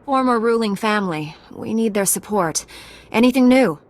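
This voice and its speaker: An actress playing a calm, earnest, determined heroine knight in a video game. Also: female voice, fairly high pitch, moderate pace, lightly acted. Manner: composed, resolute. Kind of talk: video game cutscene acting.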